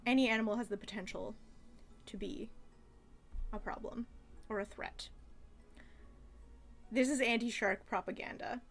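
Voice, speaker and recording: A high-pitched woman talks through a casual, rambling review with music behind her. She is animated and gushing, leaning on her words with emphasis as she reacts. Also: unhurried, long pauses. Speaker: reviewer reacting to a childhood cartoon.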